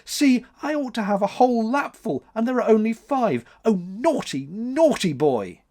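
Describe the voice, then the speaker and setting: A man's voice: sits high, very animated, moderate pace, dark tone, pitched above the performer's own voice. A man voicing a tearful, reproachful young storybook spirit of a month, sobbing as it complains. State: weeping, sobbing.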